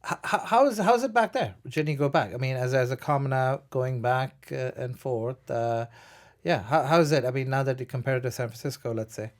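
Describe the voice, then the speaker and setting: A man hosting a conversational interview show, haltingly restarting his questions as he feels his way toward them. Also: mid-range voice. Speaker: talk show host.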